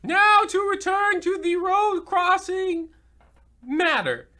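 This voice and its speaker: Man putting on a very shrill, feeble old lady. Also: animated, sharp tone, exaggerated voice. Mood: halting, eager. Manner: halting, stammering, repeating words. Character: feeble, doddering old lady asking for help. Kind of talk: comedy radio play.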